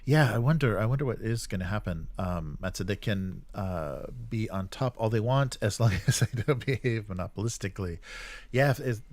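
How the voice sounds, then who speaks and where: Guy hosting a gaming livestream, lowish voice, dry close sound, chatty and a bit halting. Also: animated, darkish tone, no echo. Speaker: host of a tabletop gaming livestream. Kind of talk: casual livestream chat, reading and answering viewer comments.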